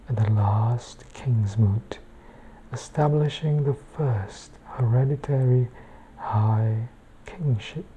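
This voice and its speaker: Female ASMR reader for bedtime book narration: very deep, extremely dark and mellow, hushed and near whispering. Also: highs cut off, slight background noise. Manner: hushed, soft-spoken, often slipping into whisper.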